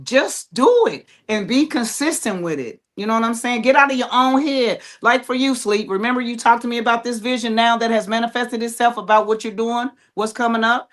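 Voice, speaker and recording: A woman's voice: fairly high pitch, brisk, few pauses. A woman chatting casually as a playwright on a panel, plain-spoken and encouraging. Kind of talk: casual chat.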